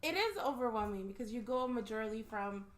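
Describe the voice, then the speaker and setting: Female guest, 30s, from Somalia; calm, measured storytelling. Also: a little high. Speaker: podcast guest. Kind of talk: casual interview chat.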